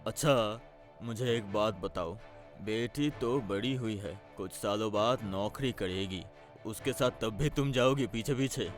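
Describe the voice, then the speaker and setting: A man voicing a calm, supportive middle-aged father in a Hindi cartoon story. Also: on the low side, unhurried, faint music behind, close to an everyday voice. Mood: reasoning.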